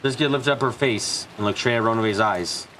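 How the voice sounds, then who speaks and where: A man's voice: on the low side, normal speed, sharp tone, a little hiss or hum, low-quality recording. A man reading story narration aloud as a live dub, with occasional slips as he reads. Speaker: streamer reading a visual novel. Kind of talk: live translated narration and dubbing. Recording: music behind. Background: game music.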